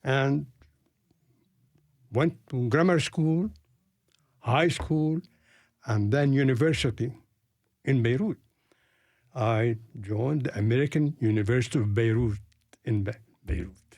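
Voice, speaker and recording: A man in his eighties with an Arabic accent, from Palestine and raised in Lebanon, recounting his life in an interview in a deliberate storytelling manner. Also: medium pitch, darkish tone, no echo, studio quality. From Palestine, grew up in Lebanon. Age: 80s.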